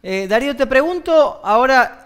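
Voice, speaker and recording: A man's voice: very high voice, animated, normal speed, a bit bright, clean tone. An Argentine man hosting a news interview and putting questions to a guest. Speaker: program host, interviewer.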